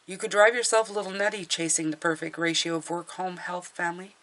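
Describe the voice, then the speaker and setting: A middle-aged woman with a hoarse voice from a cold, chatting casually through a tarot reading with fillers and restarts. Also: on the low side, steady tone, fast, a bit bright. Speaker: tarot reader.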